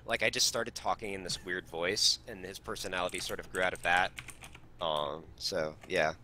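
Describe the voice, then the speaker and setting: Bright-voiced man talking through his gaming. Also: deep voice, fairly even intonation, normal speed, slight background noise. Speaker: first-time game master. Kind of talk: casual feedback discussion on a call.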